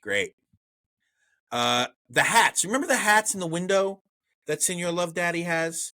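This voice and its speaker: A man's voice: sits high, expressive, unhurried, pushed and bright, dry recording. A man with a Brooklyn accent co-hosting a podcast, chatting casually and profanely about an old movie and reading trivia aloud. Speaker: podcast co-host. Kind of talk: casual movie review chat.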